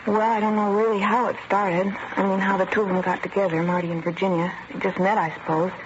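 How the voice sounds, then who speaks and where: Quick, guarded shopgirl played by a woman, muffled recording. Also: mid-range voice, little pitch movement, close to an everyday voice. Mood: reluctant, confiding. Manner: evasive, apologetic, plain-spoken. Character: guarded, reluctant young female gift-shop worker. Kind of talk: 1950s radio drama acting. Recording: muffled, noisy vintage radio recording.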